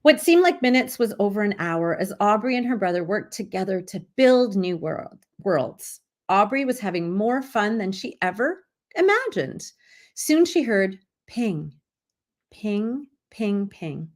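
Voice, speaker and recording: A woman's voice: mid-range voice, big pitch swings, unhurried. A woman author reading a children's story aloud to listeners. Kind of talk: scripted story read-aloud.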